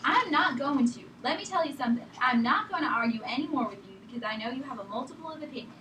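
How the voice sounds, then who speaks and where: A woman's voice: sits high, expressive, fast talker, sharp tone. A woman acting a stubborn, evasive, sick woman patient in a drama table read, argumentative and rambling. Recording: echoey room, distant mic, faint noise.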